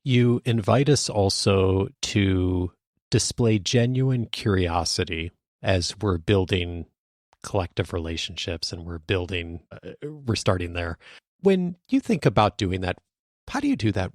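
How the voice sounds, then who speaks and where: A man hosting a conversational interview podcast, speaking in a thoughtful way with measured phrasing. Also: low voice, expressive, no echo, clean studio sound.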